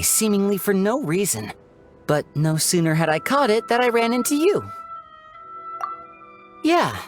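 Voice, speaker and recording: A young stage magician from a video game, charming and theatrical. The pitch swings freely as the character teases and shows off, with faint music underneath. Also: female voice. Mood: breezy, playful. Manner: theatrical, teasing, showy. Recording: music behind the voice.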